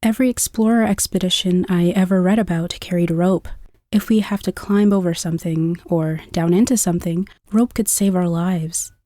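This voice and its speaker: An actress playing a bold young vault dweller and lockpicker with an eager, cheeky manner. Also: female voice, on the low side, fairly even intonation, normal speed, mellow, natural voice. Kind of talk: audio drama acting.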